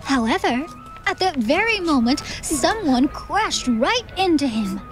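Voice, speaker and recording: High-voiced woman narrating fantasy. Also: sing-song, unhurried. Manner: theatrical storytelling. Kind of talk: scripted fantasy audiobook narration. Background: soft music.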